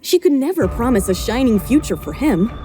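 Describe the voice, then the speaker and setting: A woman's voice: on the high side, big pitch swings, a little fast, dark tone, faint music behind. A woman narrating a scripted romance drama as its storyteller.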